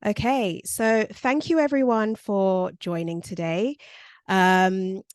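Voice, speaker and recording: A woman's voice: medium pitch, smooth, dry recording, studio quality. A woman, presenting a career workshop over a video call in a conversational way with frequent ums. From born in Nigeria, raised in South London.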